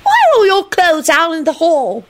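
A woman's voice: very high-pitched, huge pitch swings, normal speed, voice pushed up, exaggerated voice. A woman voicing a cheerful, chattering little boy in an audiobook, beaming and knowing. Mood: happy.

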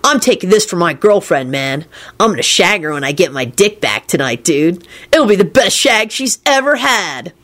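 A woman's voice: fairly low pitch, very animated, normal speed, a bit bright. Storybook stoner lad, played by a woman: slangy, laid-back, cheerfully dim, easily thrilled. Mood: knowing, chummy.

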